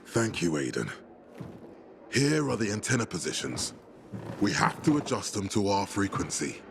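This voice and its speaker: An actor playing a persuasive, paternal and grandiose older military leader in a video game, speaking in measured, coaxing rhetoric. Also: male voice, lowish voice, sing-song, gravelly, noisy background. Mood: warmly commanding. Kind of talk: cinematic game dialogue.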